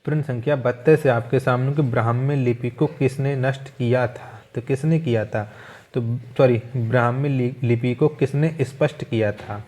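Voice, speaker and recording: A man's voice: mid-range voice, fairly even intonation, normal speed, warm tone. A man teaching an exam-prep quiz lesson, reading out questions, asking them again rhetorically and repeating the answers.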